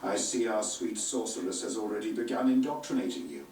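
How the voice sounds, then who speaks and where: A man's voice: on the low side, expressive, a little fast, darkish tone, slight background noise, close to an everyday voice. An actor playing a brusque, crude male king giving orders in a game cutscene. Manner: brusque, coarse, domineering. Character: crude commanding middle-aged male king. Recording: echoey distant game audio with music.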